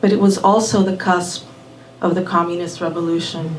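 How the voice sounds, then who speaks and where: A woman raised in Miami telling a personal story live at a small venue, unhurried and measured, dropping in Spanish words. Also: on the low side, steady tone, slight background noise. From born in Puerto Rico, raised in Miami. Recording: roomy venue audio from a distant mic.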